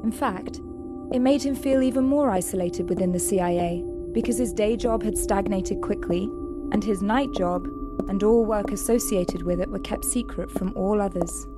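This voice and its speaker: Woman, audio drama narrator, scripted storytelling, medium pitch, prominent music underneath. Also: moderate pace.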